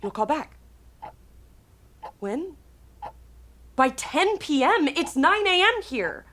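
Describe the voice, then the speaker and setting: Grown daughter played by a woman, earnest and anxious, higher-pitched, casual naturalistic drama dialogue. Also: sing-song, takes it slowly, a bit bright, slight background noise, heavily acted. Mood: scared, apologetic.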